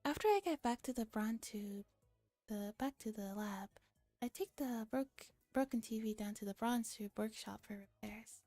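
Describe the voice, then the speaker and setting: Soft, mellow, whispery ASMR woman. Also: on the high side, moderate pace, no room sound, soft background music. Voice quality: soft, breathy, often whispering. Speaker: streamer. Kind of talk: ASMR visual novel read-aloud with casual chat.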